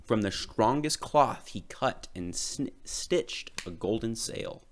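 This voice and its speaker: A man narrates a children's story aloud. His voice sits a little low but moves widely in pitch, with expressive, dramatic delivery. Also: slow. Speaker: storybook reader.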